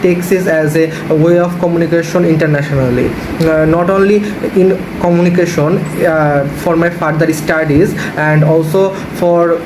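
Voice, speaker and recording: A man's voice: on the high side, fairly even intonation, normal speed, hardly any pauses. A young Bangladeshi man whose first language is Bangla, answering mock speaking test questions with many hesitations, recorded close on a phone in a small room. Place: small indoor room with curtains. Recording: echoey room with background noise.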